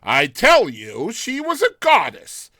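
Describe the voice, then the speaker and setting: A man voicing an excitable, boastful male university student in love, gushing and exclaiming in a storybook reading. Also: high voice, takes it slowly, no room sound, clean studio sound, pitched above the performer's own voice, exaggerated voice. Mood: exuberant, proud.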